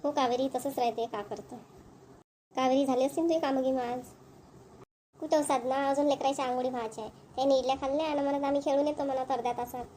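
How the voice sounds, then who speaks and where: Woman acting a high-voiced chatty village housewife. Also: fairly even intonation, quick pace, mellow, a little hiss or hum, close to an everyday voice. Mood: neighbourly. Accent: Varhadi Marathi of Vidarbha. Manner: chatty, homely, repetitive phrasing. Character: chatty village housewives and mothers, homely, mildly exasperated. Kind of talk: amateur cartoon comedy dialogue.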